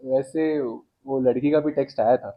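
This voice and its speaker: A man's voice: medium pitch, steady tone, rapid-fire, highs cut off, natural voice. A young man playing a sulky new medical student who complains to his brother. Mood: complaining.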